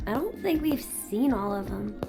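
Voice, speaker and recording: A woman's voice: medium pitch, animated, moderate pace, vocal fry. A woman voicing a hesitant, innocent child in a mystery video game. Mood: unsure. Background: game music.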